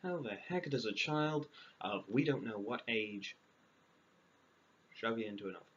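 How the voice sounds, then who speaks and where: Deadpan man storyteller on lo-fi audio. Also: on the low side, unhurried. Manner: dry, sarcastic, deadpan. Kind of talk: fairy tale reading with deadpan comic asides. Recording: dull lo-fi audio, clear background noise.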